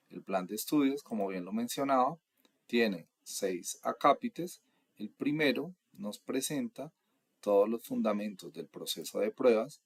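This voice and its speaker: Low, gravelly, husky male instructor. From Colombia. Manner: steady, methodical, lists items one by one. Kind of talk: explanatory lecture narration.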